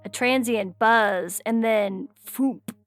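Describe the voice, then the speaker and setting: A woman's voice: fairly high pitch, slow, dry recording. A woman playing a weathered, amused character with a cryptic, playful manner in an audio science fiction story. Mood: mysterious. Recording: music bed under dry voice. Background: music.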